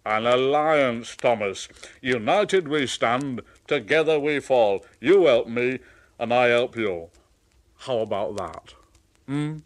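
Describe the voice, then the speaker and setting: A man voicing a big, proud, hearty male express engine with a deep booming voice and a chuckling manner. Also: slow, close to an everyday voice. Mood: warm. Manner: jovial, chuckling.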